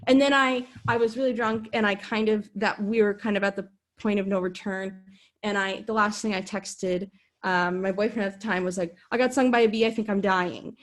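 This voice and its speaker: A woman's voice: medium pitch, fairly even intonation, normal speed. A woman with a Minnesotan accent, a podcast guest chatting casually, bubbly, laughing often and rambling as she tells stories. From Minnesota, USA. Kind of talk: casual chat.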